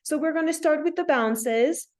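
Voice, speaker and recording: High-voiced French woman teaching dance. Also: moderate pace, a little mellow. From France. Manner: encouraging, checking in often with questions. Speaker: guest dance instructor. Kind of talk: spoken dance class instruction.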